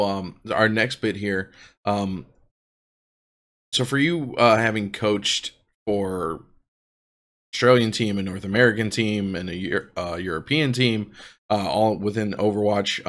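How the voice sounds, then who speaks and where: A man in his thirties, a podcast host, asking long, halting interview questions with fillers. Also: lowish voice, a bit bright. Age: 30s.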